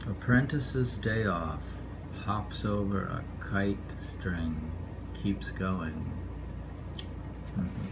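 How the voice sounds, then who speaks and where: Slow, low-key man reading poetry, noisy room. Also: creaky. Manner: unhurried, rambling, repeats lines. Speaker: poetry reader. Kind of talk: informal poetry reading with offhand commentary. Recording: noisy room ambience, amateur home recording.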